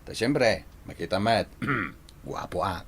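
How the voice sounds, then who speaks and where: An actor playing a vain, teasing, choosy bachelor son in an Ilocano radio drama, boastful and quick to laugh. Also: male voice, deep voice, animated, a bit bright, slight background noise, exaggerated voice. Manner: boastful, laughing, swinging delivery.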